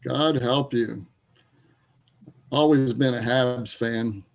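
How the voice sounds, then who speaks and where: A man playing a wry, troubled, grieving Canadian sports fan in a readers theater piece, with a gravelly voice. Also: medium pitch, fairly even intonation, takes it slowly. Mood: wry, teasing.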